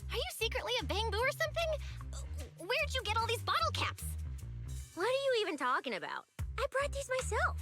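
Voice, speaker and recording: An actress playing an eager, chatty young female public security officer in a video game, with an animated, theatrical delivery. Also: very high-pitched, brisk. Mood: excited, rambling. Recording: game music behind the voice.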